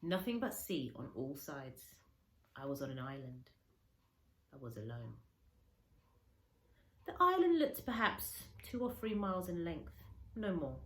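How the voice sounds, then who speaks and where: A woman reading a children's story aloud like a teacher, dramatically, with pauses and stretched words for emphasis. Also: on the low side. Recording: echoey room, faint background noise.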